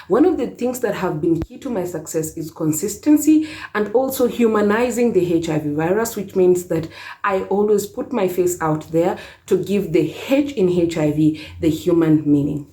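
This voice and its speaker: Young female advocate, Kenyan accent, warm mellow lower register, prepared talk. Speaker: HIV stigma advocate. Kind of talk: prepared self-introduction talk.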